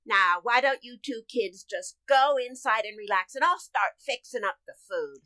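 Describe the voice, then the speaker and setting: A woman's voice: fairly high pitch, normal speed, bright, forceful tone, no echo. A woman playing a brash, bossy housekeeper with a folksy, blunt way of talking in an amateur radio sitcom. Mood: breezy, bossy. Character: brash, bossy, unflappable housekeeper, easygoing and familiar.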